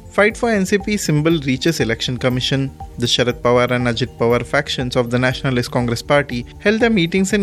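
A man with an Indian accent reading a scripted news bulletin as its presenter. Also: medium pitch. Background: music.

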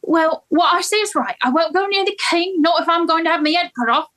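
A woman voicing a defiant young Cockney flower girl, protesting in indignant rapid outbursts with a put-on Cockney accent. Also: very high-pitched, fairly bright tone, voice pushed up.

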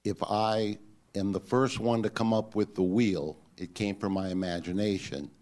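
A man with a very deep, big voice from the congregation. He disagrees openly and presses his objections with pointed questions. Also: fairly even intonation, moderate pace, a little mellow. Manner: challenging, questioning.

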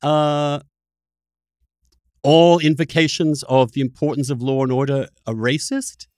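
A man hosting a conversational political interview, probing and debating with halting, repeated phrasing. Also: fairly high pitch, fairly even intonation, a little mellow, no room sound, studio quality. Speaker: podcast host.

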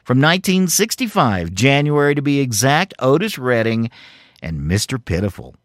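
A man's voice: mid-range voice, very animated, moderate pace, a little hiss or hum. A man hosting a music show, narrating scripted bits of music history between songs. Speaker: music radio show host.

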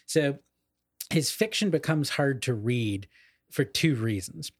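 A man's voice: medium pitch, lively intonation, slow pace, breathy edge, dry recording, clean studio sound. A man co-hosting a casual literary discussion, explaining ideas in an erudite way and qualifying his points as he goes. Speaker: podcast co-host explaining literature.

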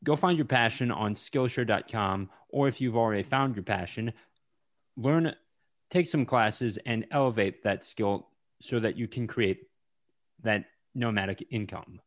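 A man hosting a podcast interview, speaking in an unhurried casual way with frequent ums and restarts. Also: fairly low pitch. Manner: slow, hesitant with many ums. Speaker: podcast host.

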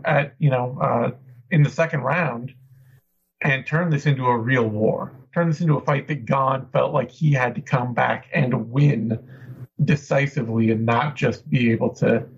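A man's voice: medium pitch, creaky, husky. A man hosting a casual post-fight podcast, upbeat and chatty as he breaks down the fights. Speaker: podcast host. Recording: dull lo-fi remote audio.

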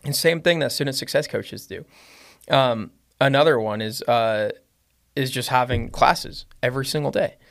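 A man with a slight Lebanese accent chats as a guest in a relaxed podcast conversation. His voice sits in a middle pitch, and his speech wanders with frequent fillers and restarts. He gets livelier and louder when something excites him. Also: normal speed, gravelly. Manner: rambling, many fillers and restarts. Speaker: podcast guest, business owner. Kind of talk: casual conversation.

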